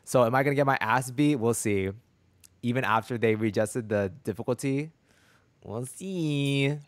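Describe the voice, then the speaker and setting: A man's voice: medium pitch, normal speed, dry recording. A young man chatting casually on a gameplay livestream in a slangy, rambling, playful way. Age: young adult. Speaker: video game streamer. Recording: faint game music behind.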